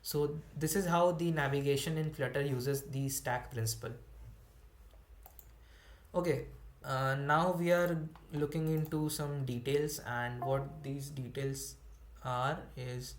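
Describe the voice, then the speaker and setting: A young man with an Indian English accent leads an online coding workshop over a computer mic. His voice sits at an ordinary middle pitch. He explains step by step, with a lot of fillers and restarts, in a room with plenty of echo. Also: steady tone, slow pace. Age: young adult. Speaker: student instructor. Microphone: computer mic on a video call.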